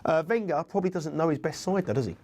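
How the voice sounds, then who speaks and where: A man hosting a sports betting tips show, brisk and reeling off statistics, bunged up with a cold. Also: a little high, expressive, darkish tone. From United Kingdom.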